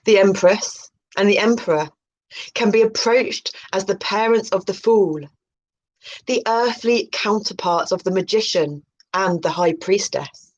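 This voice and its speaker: A muffled, lo-fi recording of a woman hosting a spiritual video. She speaks slowly at an ordinary female pitch, moving between reading aloud and playful, singsong asides. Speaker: video host. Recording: muffled, dull lo-fi sound.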